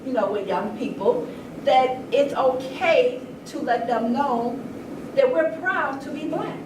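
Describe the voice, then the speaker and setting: A woman's voice: high-pitched, slow pace. Older woman speaking earnestly in an echoey room. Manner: earnest, emphatic, conversational storytelling. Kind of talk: impassioned informal public talk. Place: indoor room at a public event. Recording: echoey room, distant mic, noisy background.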